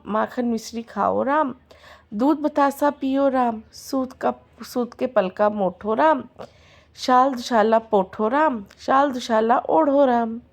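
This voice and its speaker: Woman storyteller, devotional folk tale, hoarse throat, rhythmic chanted refrains, slightly high voice.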